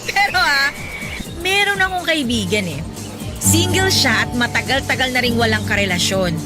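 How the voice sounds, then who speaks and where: A blunt young woman who scolds her friend with sarcastic bite, played by an actress. Her voice sits high. Soft music plays behind her. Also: expressive, moderate pace, natural voice.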